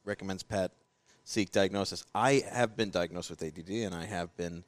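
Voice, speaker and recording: A man co-hosting a casual podcast chat, calm and wry. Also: low voice, moderate pace, no room sound. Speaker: podcast co-host.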